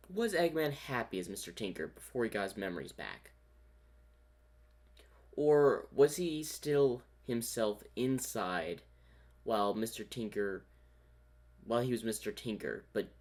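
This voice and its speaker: Low-voiced man giving casual commentary. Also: darkish tone. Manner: musing, thinking aloud. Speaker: reaction host.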